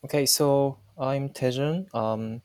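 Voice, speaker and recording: A man's voice: mid-range voice, slow pace, darkish tone, no echo. A man in his forties with a Japanese accent, speaking English as a non-native, answering interview questions as a company founder with frequent hesitations. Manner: hesitant, many fillers and restarts.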